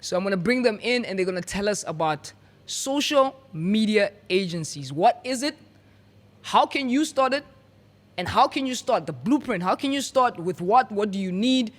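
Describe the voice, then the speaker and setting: A man's voice: high voice, expressive, normal speed. A man hosting a podcast, playful and hyped, often repeating his words. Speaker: podcast host.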